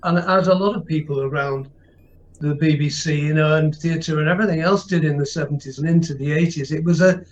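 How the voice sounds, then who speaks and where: A muffled, slightly lo-fi recording of an older man with a fairly high voice, a little noise behind him. He reminisces as a podcast guest, wandering through anecdotes and rephrasing as he goes. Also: steady tone, brisk. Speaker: interview guest with a career in television. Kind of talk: reflective anecdotal conversation. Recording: muffled lo-fi line with faint noise.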